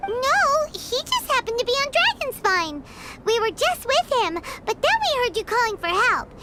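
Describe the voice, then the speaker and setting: Squeaky, excitable little fairy companion. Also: female voice, moderate pace, heavy background noise. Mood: puzzled. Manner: exclaiming, childlike, expressive. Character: small excitable fairy-like companion girl, chatty, blunt, refers to herself by name. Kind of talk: video game voice acting.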